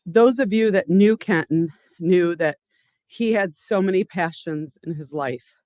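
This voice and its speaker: Older woman, heartfelt acceptance speech, halting phrasing, dry close sound. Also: lowish voice, darkish tone, dry recording. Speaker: award recipient's widow accepting on his behalf.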